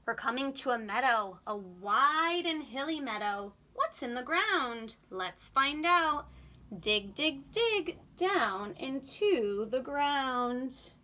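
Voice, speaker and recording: A woman reading a picture book aloud to young children in an animated, sing-song, expressive way. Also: high voice, takes it slowly, a little hiss or hum.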